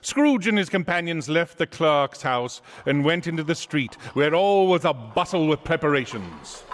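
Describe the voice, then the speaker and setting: A man's voice: on the high side, sing-song, normal speed. A man giving storytelling narration in a radio drama.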